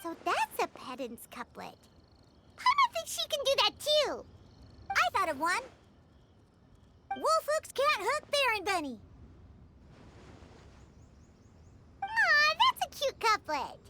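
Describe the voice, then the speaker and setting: A woman voicing a tiny, excitable floating fairy girl who talks about herself in third person, in a game cutscene. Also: very high-pitched, a little slow, long pauses, exaggerated voice. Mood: cheerful. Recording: loud background noise.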